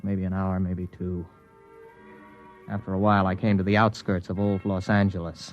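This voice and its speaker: A man's voice: fairly low pitch, close to an everyday voice. Man playing a restless young spaceman, dull vintage recording. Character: restless, disillusioned young male ex-spaceman, blunt and defiant. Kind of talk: 1950s science-fiction radio drama acting with first-person narration. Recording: vintage radio recording, dull band, music behind.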